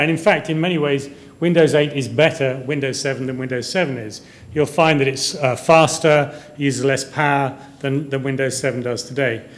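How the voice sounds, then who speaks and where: Guy in his 50s giving a tech talk, slightly high voice, rambles and repeats himself a bit. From Kuwait. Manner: hesitant, rambling, often repeating words. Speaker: conference speaker, software company director. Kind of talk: presentation to developers. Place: hotel conference room, indoors.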